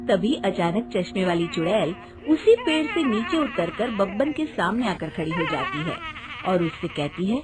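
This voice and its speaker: A woman's voice: mid-range voice, very animated, moderate pace, loud music behind the voice. A woman narrating a children's cartoon story in a scripted storytelling style.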